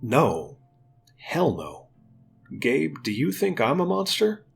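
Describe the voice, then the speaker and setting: A man playing a gruff but tender uncle who talks to his young nephew in an earnest, plainspoken, folksy way in an audio drama. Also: medium pitch, huge pitch swings, takes it slowly, soft background music, voice pushed up, heavily acted. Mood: earnest, emotional.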